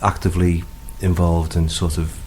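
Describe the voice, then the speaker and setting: Low voiced scouse guy, rambly casual interview chat, bit of background noise. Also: little pitch movement, moderate pace, darkish tone. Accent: Liverpool. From Liverpool, England. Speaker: guest, singer-songwriter.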